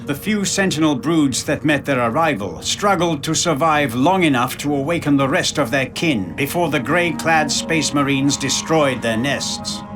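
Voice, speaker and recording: A man playing a grave, knowing storyteller, giving scripted dramatic narration for a war game in a measured, portentous manner. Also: a little high, over music, natural voice.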